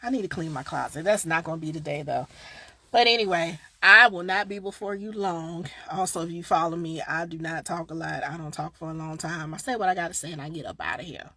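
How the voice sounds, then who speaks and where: A woman with a Southern accent, chatting casually on a live stream as a grief coach, a little tired, swinging from loud bursts to quiet asides. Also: sits low, fairly quick, no room sound. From Southern United States. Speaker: grief coach and podcast host. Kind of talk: casual live-stream chat answering viewers.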